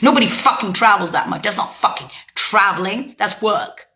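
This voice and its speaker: A woman's voice: mid-range voice, lively intonation, moderate pace, sharp tone. A woman speaking a rambling, heated monologue, swearing and accusing as she jumps from thought to thought. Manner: loose, jumping from thought to thought.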